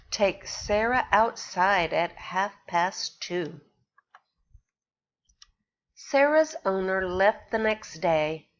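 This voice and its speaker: Slow sing-song teacher reading aloud. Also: female voice, mid-range voice, lo-fi sound. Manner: slow, sing-song storytelling with questions to the children. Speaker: pre-K teacher. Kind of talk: reading a picture book aloud to young children.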